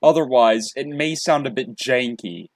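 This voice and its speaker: A man presenting a casual software tutorial, talking in a halting way and often repeating his phrases. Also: medium pitch, no room sound, studio quality. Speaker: tutorial presenter and hobby voice actor.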